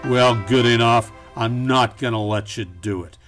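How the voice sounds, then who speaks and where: A man acts out an earnest, wisecracking detective hero in a campy noir radio play. He has a mid-pitched male voice and a melodramatic, wry delivery, with music behind. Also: unhurried, lightly acted. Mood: determined, wry. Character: wisecracking hard-boiled private eye hero, earnest and hammy.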